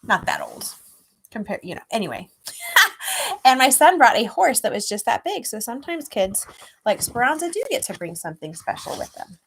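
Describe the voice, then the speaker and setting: A middle-aged woman speaking to children as an author at a read-aloud, explaining gently in simple words. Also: fairly high pitch, wildly swooping pitch, moderate pace, creaky, a little hiss or hum, poor audio. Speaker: children's author reading own book aloud.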